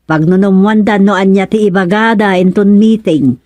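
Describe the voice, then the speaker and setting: A woman's voice: mid-range voice, normal speed, mellow, no room sound, close to an everyday voice. A woman with an Ilocano accent acting a gossipy, insistent villager in a radio comedy-drama.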